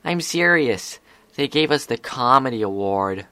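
A man's voice: medium pitch, animated, measured pace, sharp tone, no room sound. A man voicing an anxious, downcast young female pony in a story reading. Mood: dejected.

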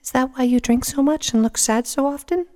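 A man voicing a gentle, earnest young female pegasus pony in a story, innocently curious and soft-hearted. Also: very high-pitched, a little fast, big-room sound, pitched above the performer's own voice.

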